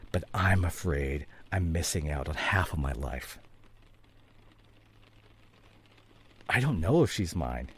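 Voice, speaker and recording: Estranged husband played by a male actor, audio drama: deep, husky, emotional and wounded, very wide-swinging delivery. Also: heavily acted. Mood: pained, confessional. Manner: emotional, halting, swinging from muted to heated. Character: estranged husband and father, guilt-ridden, defensive, wounded. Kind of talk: dramatic audio roleplay, one side of a conversation.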